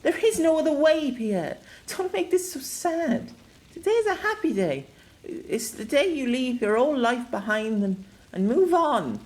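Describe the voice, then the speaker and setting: Narrator doing a tearful young magic woman, way up high, lively and wobbly. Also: measured pace. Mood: tearful pleading. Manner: pleading, emotional. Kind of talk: audiobook character voice.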